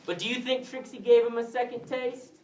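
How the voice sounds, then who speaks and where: A woman's voice: on the high side, level tone, fairly quick, very noisy. Woman storyteller in an echoey room. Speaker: storyteller leading a children's puppet show. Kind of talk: live storytelling to children, prompting repeats. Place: live puppet show in an echoey room. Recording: echoey room, distant mic, music.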